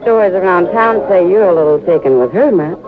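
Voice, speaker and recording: A frank, concerned saloon confidant in an old radio western. The voice is on the high side and knowing in manner. It sounds thin and tinny, with music behind. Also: male voice, animated, brisk. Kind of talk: 1950s radio western acting.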